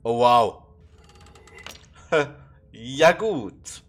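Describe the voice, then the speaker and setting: A man with a stuffy nose from a cold, musing haltingly through a puzzle game with plenty of fillers. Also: mid-range voice, expressive, fairly bright tone. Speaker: let's play gamer. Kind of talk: casual puzzle game commentary.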